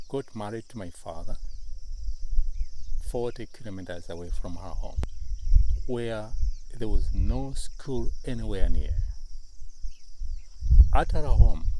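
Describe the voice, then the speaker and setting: A middle-aged man from northern Malawi with a Malawian accent, telling his family's story in an interview in short, measured phrases. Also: lowish voice, warm tone, slight background noise, rough recording. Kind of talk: slow, deliberate storytelling in an interview.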